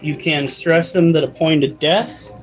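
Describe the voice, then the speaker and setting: Livestream host: man, slightly higher voice, unhurried, chatty explainer. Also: over music. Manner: chatty, explains tarantula care while answering chat. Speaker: livestream host and pet keeper. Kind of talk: casual livestream chat.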